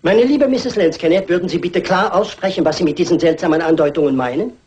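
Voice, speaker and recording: A man's voice: high-pitched, a little mellow, lightly acted. Man playing formal, precise family lawyer. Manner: formal, measured. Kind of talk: German crime radio play acting.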